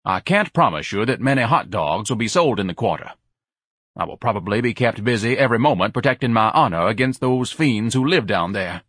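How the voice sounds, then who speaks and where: A man's voice: on the low side, expressive, brisk, a bit bright, breathy edge, dry recording, clean studio sound. A man voicing a pompous, self-pitying, overeducated fat man who speaks in grand, ornate phrases and is easily outraged, in an audiobook. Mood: haughty lecturing.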